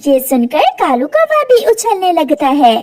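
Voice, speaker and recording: A woman narrating a children's story in an animated storybook style. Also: extremely high pitch, measured pace.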